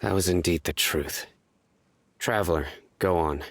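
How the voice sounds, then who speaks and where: An actor playing a stern, cold, menacing warrior in a video game, speaking in a terse deadpan. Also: male voice, deep voice, measured pace. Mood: grim, composed.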